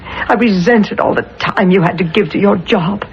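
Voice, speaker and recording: An American actress playing an anxious, devoted middle-aged wife in a radio drama, speaking in an earnest, tender, hesitant way. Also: female voice, medium pitch, normal speed, natural voice. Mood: remorseful, tender. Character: anxious, devoted wife tenderly soothing her accused husband. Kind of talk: old-time radio drama acting. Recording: muffled, noisy 1970s radio broadcast.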